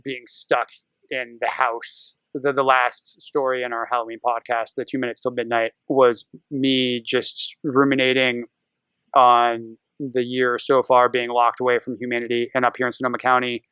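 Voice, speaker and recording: A man's voice: mid-range voice, flat delivery, moderate pace, bright, forceful tone, clean tone, no room sound, muffled recording. An American man chatting casually as a podcast guest, rambling and acting out his own thoughts as he goes. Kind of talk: casual podcast interview chat.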